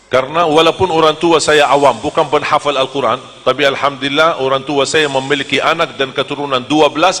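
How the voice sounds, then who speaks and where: A man from Medina, preaching to a live audience in a lively, joking storytelling manner. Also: mid-range voice, long reverb tail. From Medina, Saudi Arabia. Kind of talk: humorous sermon to a live audience.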